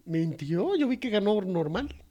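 A man's voice: sits high, sing-song, a little slow, warm tone, highs cut off. A young Mexican man recapping a film in casual, profane, mocking banter, acting out characters' lines. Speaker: podcast co-host. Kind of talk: casual comedic movie recap.

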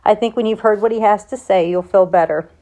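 A woman voicing a gentle farm mother in a storybook, consoling in a soothing, patient way. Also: mid-range voice, fairly quick, close to an everyday voice, rough recording. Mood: reassuring.

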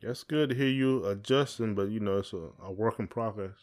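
A man's voice: on the low side, brisk, darkish tone. A man hosting a casual podcast chat, talking in an informal, colloquial way. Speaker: podcast host.

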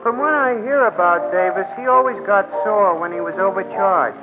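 A high-pitched, naive and chatty bartender, played by a man, rambles in ungrammatical working-class slang. He is the good-natured comic sidekick of a 1940s radio drama, heard on a tinny old recording with music behind. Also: normal speed, lightly acted. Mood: gossipy. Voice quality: folksy, ungrammatical working-class city speech.

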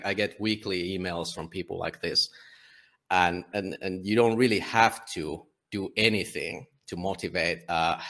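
A Finnish man, a publisher and guest, talking casually in a conversational interview, often halting and restarting his sentences. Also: low voice, fairly bright tone. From Finland. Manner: halting, many restarts and fillers.

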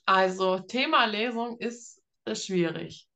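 A woman's voice: medium pitch, takes it slowly, pushed and bright. A woman in her thirties from Germany, an author in a casual interview, often laughing as she talks. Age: 30s. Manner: giggly, often laughs while talking.

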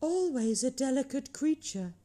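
Woman as a storybook spirit, solemn, gentle, measured, deliberate, fairly high voice. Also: lively intonation.

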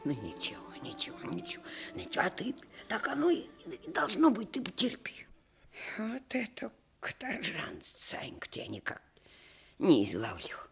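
Old grandmother, radio drama, husky, very swooping intonation, folksy, grumbling, doting. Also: mid-range voice, wildly swooping pitch, moderate pace, in a whisper, soft background music, heavily acted. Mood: soothing, muttering. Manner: folksy, grumbling, fussing speech. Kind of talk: radio play acting.

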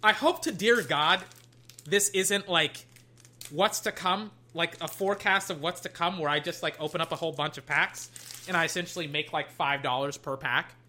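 A young adult, slightly hoarse, chatting casually while opening card packs at a desk, swinging between loud hype and low muttering and swearing freely. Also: normal speed, a little hiss or hum. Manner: swears casually, trails off and restarts. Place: at a desk indoors.

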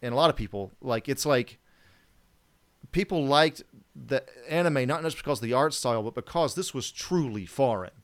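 A man's voice: medium pitch, animated, normal speed. A man hosting a podcast, musing casually with many fillers. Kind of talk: casual chat.

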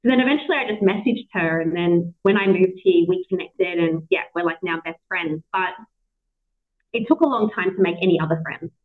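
A woman's voice: lowish voice, a little fast. Australian woman over a tinny line. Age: 30s. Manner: chatty, animated, laughs a lot. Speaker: podcast host. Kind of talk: casual chat. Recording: tinny phone-like call audio.

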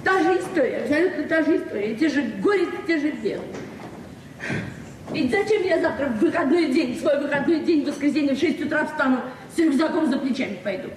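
A woman's voice: sits high, expressive, quick pace. An actress playing a frank, proud, hard-pressed librarian in her forties in a Soviet radio drama, with curt retorts and earnest outpourings. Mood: aggrieved, confiding. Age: 40s. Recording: noisy, distant, echoey old radio recording.

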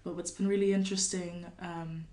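A woman from California speaks as a poet on a podcast. Her voice sits low and stays fairly flat in pitch. She talks thoughtfully, pausing often with fillers as she works through ideas. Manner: thoughtful, hesitant with many fillers, laughs often. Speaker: poet guest. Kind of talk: podcast interview with poetry reading.

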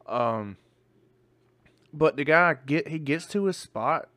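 A man's voice: a little high, lively intonation, slow pace, sharp tone, no room sound. A male podcast co-host in casual chat, rambling and swearing, often restarting his sentences, with hiccups.

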